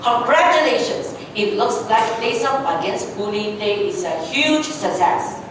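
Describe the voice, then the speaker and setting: A woman's voice: fairly high pitch, lively intonation, unhurried. Encouraging school principal played by a female reader, echoey. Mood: proud. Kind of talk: amateur reader's theatre reading. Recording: very echoey room, distant mic, clear noise.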